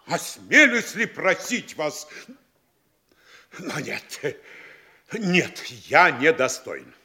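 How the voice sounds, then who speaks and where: An actor playing a sly, fawning middle-aged provincial mayor in a classic Russian stage comedy, gushing obsequiously and muttering scheming asides. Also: male voice, fairly high pitch, big pitch swings, very slow, vocal fry, sharp tone, exaggerated voice. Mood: ingratiating, hesitant.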